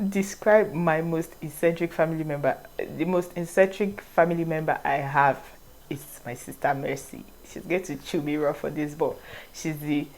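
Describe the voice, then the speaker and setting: Low-voiced Nigerian woman vlogger. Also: moderate pace, poor audio. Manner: reads questions aloud, then answers in a relaxed, rambling way. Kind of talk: casual Q&A vlog.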